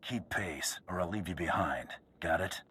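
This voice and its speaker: An actor playing a gruff, cocky bounty hunter in a video game, speaking tersely with quiet menace. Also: male voice, deep voice, moderate pace, exaggerated voice. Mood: stern. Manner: terse, tough.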